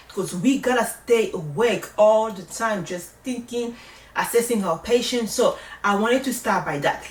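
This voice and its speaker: A woman with a French accent, a native French speaker, giving casual advice as a vlogger in a reassuring, emphatic way. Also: mid-range voice. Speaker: vlogger, nursing study coach. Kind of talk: casual advice talk to camera. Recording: roomy echo, distant microphone.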